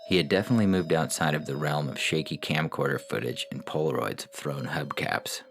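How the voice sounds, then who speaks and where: A man reading science fiction stories as scripted narration, in a measured, deliberate way with a gravelly voice. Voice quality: gravelly, very low voice. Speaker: podcast reader and short story author. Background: music under the voice.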